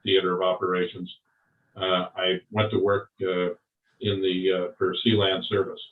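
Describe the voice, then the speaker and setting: An older man recalling his service and career in an interview, talking slowly with many pauses and fillers. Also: low voice, monotone, slight background noise. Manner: slow, halting, many fillers. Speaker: interviewee, Vietnam veteran. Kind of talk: oral history interview. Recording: far off, roomy, phone-like.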